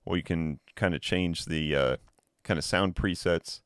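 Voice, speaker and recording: A man explaining and demonstrating an audio device in a relaxed, thinking-aloud product review. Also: sits low, measured pace, no room sound, studio quality. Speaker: tech reviewer. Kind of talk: casual product review demo.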